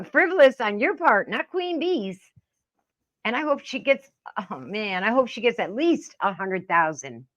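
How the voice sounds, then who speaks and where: A woman's voice: a little high, a bit bright. A middle-aged woman giving casual, profane reaction commentary in a blunt, indignant, scolding manner. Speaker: reaction video host.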